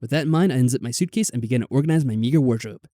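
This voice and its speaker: A man's voice: mid-range voice, rapid-fire, mellow, a little hiss or hum. A man reading a visual novel aloud as a let's player, dropping in wry, exasperated casual asides. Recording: background music.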